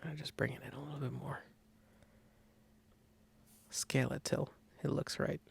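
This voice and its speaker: A man giving a casual step-by-step software tutorial, thinking aloud informally as he demonstrates. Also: mid-range voice, flat delivery, moderate pace, creaky, husky. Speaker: design tutorial instructor.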